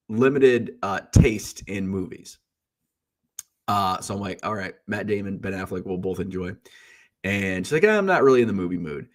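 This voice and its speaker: A stuffy, congested man hosts a casual live stream, rambling from one tangent to the next with an easy joking manner. His voice sits a bit low for a man. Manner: chatty and joking, wanders off on tangents. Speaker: host of a daily live stream. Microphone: streaming setup.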